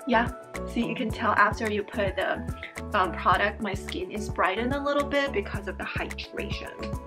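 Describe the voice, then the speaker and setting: A young woman talking casually to camera in her bedroom while doing her skincare, chatty and bubbly, her voice slightly hoarse. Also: medium pitch, moderate pace. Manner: chatty and bubbly, repeats words. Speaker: beauty vlogger and teacher. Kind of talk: casual get-ready-with-me chat. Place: bedroom with dresser and fairy lights. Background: background music.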